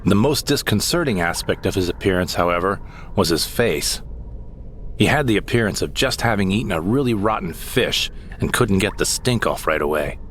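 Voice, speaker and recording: Deep-voiced man narrating a comic audio drama. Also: lively intonation, moderate pace, a bit bright, slight background noise. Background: music.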